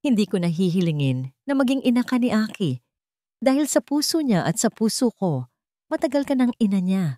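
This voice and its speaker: Filipino lady doing a sincere loving guy in a romance story, soft and pleading, dry recording. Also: mid-range voice, sing-song, a little fast, dry recording, voice pushed up, close to an everyday voice. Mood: earnest proposal. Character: earnest, tender man, a devoted father in love.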